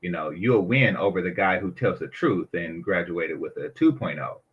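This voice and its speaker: A man's voice: sits low, expressive, normal speed, breathy edge, dull audio. A man speaking as a panel guest, matter-of-fact and sure of himself. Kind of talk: casual panel talk.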